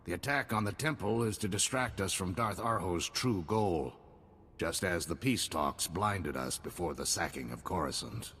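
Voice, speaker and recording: A man's voice: animated, moderate pace, fairly bright tone, breathy edge, full character voice. An actor playing a grim male field commander who gives clipped, commanding orders in a video game cutscene. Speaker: military commander. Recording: long reverb, faint rumble.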